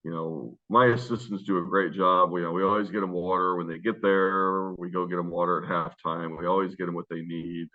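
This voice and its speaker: Coach guy, super deep loud voice, lots of uh and like, sounds like a phone call. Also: fairly even intonation, fairly quick, hardly any pauses. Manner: rambling, with many fillers and restarts. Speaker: high school basketball coach. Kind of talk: casual interview answers. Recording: tinny phone-line sound.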